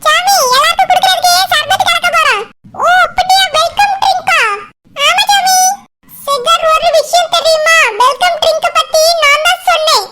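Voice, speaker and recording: Boastful, insistent cartoon little girl, Kanyakumari Tamil accent, extremely high processed voice, clear background noise. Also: fairly even intonation, normal speed. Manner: eager, insistent. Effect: pitched-up cartoon voice.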